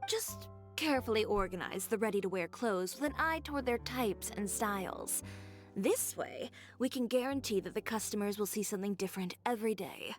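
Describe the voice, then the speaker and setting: A woman's voice: medium pitch, animated, brisk, soft background music, close to an everyday voice. A woman voicing a poised, confident young fashion designer in a video game, composed and articulate. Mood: brisk, businesslike.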